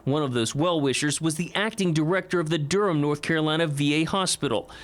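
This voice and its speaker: Higher-pitched American male news reporter. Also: brisk. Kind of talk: scripted news narration.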